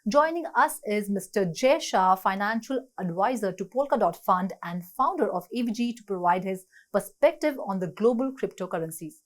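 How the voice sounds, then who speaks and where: A woman with an Indian English accent hosting a TV interview, reading brisk scripted introductions and asking questions. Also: mid-range voice, expressive. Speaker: TV show host, interviewer.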